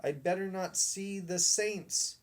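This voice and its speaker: Storybook big dog, honest and beseeching, pitched up, unhurried, acted by a male reader. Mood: sheepish. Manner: earnest and beseeching. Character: eager, honest, pleading big talking dog. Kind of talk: children's storybook read aloud.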